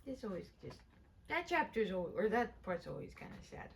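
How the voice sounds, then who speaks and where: A woman hosting a read-aloud, chatting casually in a gentle, rambling, expressive way. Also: medium pitch, moderate pace, vocal fry, breathy edge. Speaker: storyteller and channel host. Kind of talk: casual chatty commentary and story narration.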